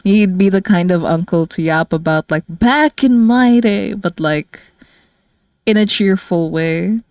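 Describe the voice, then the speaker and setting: A woman's voice: medium pitch, measured pace, a little mellow, dry recording, studio quality. A woman from the Philippines chatting casually on a livestream, rambling and giggling with lots of fillers. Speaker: streamer and artist.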